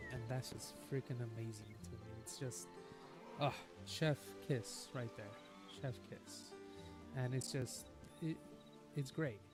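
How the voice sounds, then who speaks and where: A man casually rambling through commentary while he plays a building game, with a lingering cough that keeps breaking in. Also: mid-range voice. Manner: chatty, rambling and upbeat. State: nagging cough. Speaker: gaming streamer. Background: game music.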